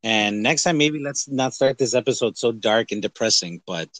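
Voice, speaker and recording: A man talks as a podcast co-host in a dry, close recording. He rambles through casual movie chat with animated, exaggerated reactions. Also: mid-range voice, moderate pace, no room sound.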